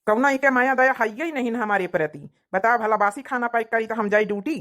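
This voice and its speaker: Casting: cartoon working husband played by a woman, Awadhi accent, high voice, nagging and long-suffering. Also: fairly quick, fairly bright tone, close to an everyday voice. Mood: aggrieved, scolding. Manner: complaining, long-suffering, scolding. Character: fed-up working village husband nagging his wife. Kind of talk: comedy cartoon dialogue.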